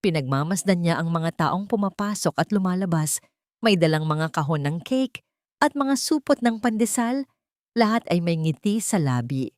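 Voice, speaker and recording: A woman storyteller with a fairly low voice, recorded dry and close. She reads a scripted romantic drama with measured, sentimental phrasing, in the manner of an audio storybook. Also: expressive, a little mellow, no room sound. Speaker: storyteller, narrator.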